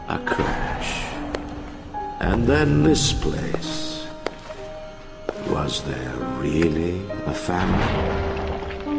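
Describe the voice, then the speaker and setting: Imperious older tycoon, slow and grandiose. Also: male voice, low-pitched, vocal fry, exaggerated voice. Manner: grandiose, deliberate, oratorical. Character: proud, bitter, imperious older male tycoon ruling his city. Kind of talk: theatrical dramatic video game monologue. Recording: loud music and effects behind voice. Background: loud dramatic game music.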